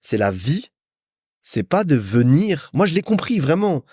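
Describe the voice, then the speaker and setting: Casting: young male podcast host from France, chatty and informal, animated intonation, dry studio-like sound. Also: fairly high pitch, a little slow, husky, no echo. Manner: chatty, informal, addresses listener as a friend. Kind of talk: casual solo podcast monologue, storytelling.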